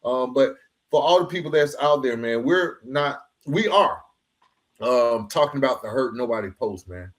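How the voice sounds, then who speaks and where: A man hosts a casual podcast conversation in a medium-pitched voice. His talk is informal and earnest, sprinkled with "man" and "bro" as he speaks to his guest and listeners. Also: expressive, moderate pace. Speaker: podcast host.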